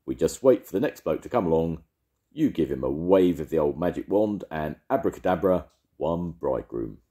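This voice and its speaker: A man's voice: rumbling low, sing-song, normal speed, dark tone. A man voicing a bossy, self-confident male caterpillar in a storybook, declaring things pompously. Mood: confident, scheming.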